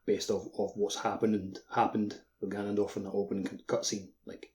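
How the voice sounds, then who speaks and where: A man's voice: on the low side, flat delivery, fairly quick, a little mellow. A man on a podcast, chatting casually about video games with his co-hosts. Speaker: podcast host. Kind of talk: casual video game discussion.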